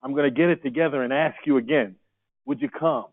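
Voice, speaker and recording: A man's voice: medium pitch, fairly quick. A man facilitating a workshop, speaking in an upbeat, coaxing way and putting questions to the room. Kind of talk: leading a group discussion. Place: conference room. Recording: tinny phone-like sound.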